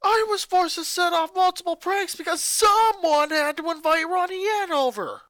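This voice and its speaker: A high, furious eleven-year-old boy. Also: moderate pace, dry recording, clean studio sound. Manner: ranting, accusing, voice rising to shouts. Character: angry eleven-year-old boy, fed up with his sisters. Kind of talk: fanfic reading.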